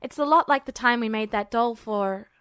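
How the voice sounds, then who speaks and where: Lady doing a sarcastic know-it-all teen boy, highish voice, flat delivery, fiction audiobook. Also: smooth, no echo, studio quality, close to an everyday voice. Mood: explaining. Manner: flat, sardonic, quick to explain. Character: sharp, sarcastic teenage boy alchemist.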